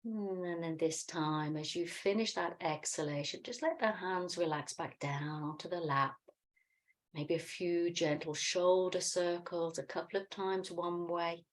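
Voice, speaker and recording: Meditation teacher: middle-aged woman, low register, calm and soothing, unhurried guided instruction.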